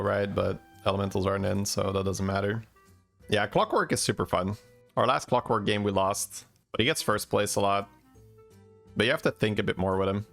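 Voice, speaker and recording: A man giving relaxed, chatty game commentary and answering chat questions, with faint music behind. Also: lowish voice, creaky. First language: Dutch. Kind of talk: casual gameplay commentary.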